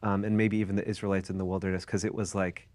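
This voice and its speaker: Guy from the us, lowish voice, rambles through a personal story in a church class. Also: flat delivery, quick pace, a little mellow. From United States. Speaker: class participant. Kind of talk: casual personal sharing.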